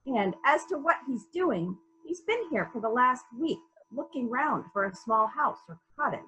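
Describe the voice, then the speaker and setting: A methodical female detective played by a woman runs a murder inquiry. Her voice is fairly high and very lively in pitch, as she muses deliberately and asks pointed questions. It sounds like a dramatic mystery reading. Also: low-quality recording. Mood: skeptical. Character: female police detective in charge, probing and methodical. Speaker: actor in a library's dramatic reading.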